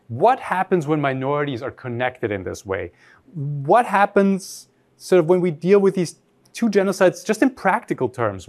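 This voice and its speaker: A man's voice: fairly high pitch, darkish tone. A man from Austria, giving an academic lecture in a thoughtful way, often rephrasing mid-sentence and putting questions to his audience.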